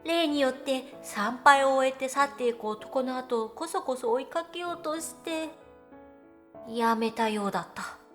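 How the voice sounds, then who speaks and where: A woman's voice: high voice, unhurried. A woman voicing a proud, thousand-year-old cat goddess who tells old tales in lordly, archaic speech, grumbling but fond. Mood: exasperated, fond. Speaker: virtual streamer in character. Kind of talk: dramatic first-person storytelling monologue. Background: music.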